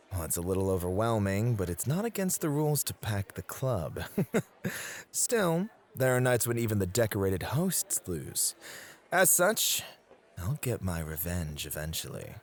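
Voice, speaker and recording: A man playing an affectionate, courteous club host in a romantic ASMR roleplay, sweet-talking and polite. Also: fairly low pitch. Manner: sweet-talking, polite, soft laughs and sighs. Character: affectionate, courteous male club host, gently possessive, motivated rival.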